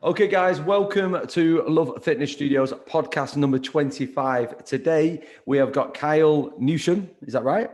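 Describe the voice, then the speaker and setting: A man's voice: fairly high pitch, unhurried, hardly any pauses, a little mellow. A man hosting a podcast, asking questions in a friendly, casual way with stumbling restarts. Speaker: podcast host. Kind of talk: casual interview chat.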